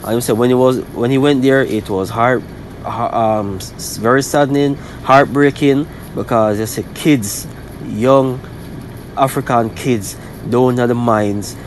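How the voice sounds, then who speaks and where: A man's voice: mid-range voice, fairly even intonation, a little slow, poor audio. Jamaican man chatting over faint background noise. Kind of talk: casual discussion, storytelling.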